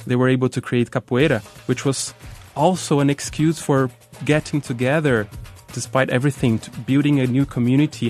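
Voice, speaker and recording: A man speaking slowly and reflectively in a documentary interview clip. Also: mid-range voice, darkish tone.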